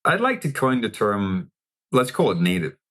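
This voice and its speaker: A man with a Danish accent, explaining things in a measured interview style as a guest, often posing rhetorical questions. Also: on the low side, huge pitch swings, fairly bright tone. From Denmark. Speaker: interview guest, technology executive.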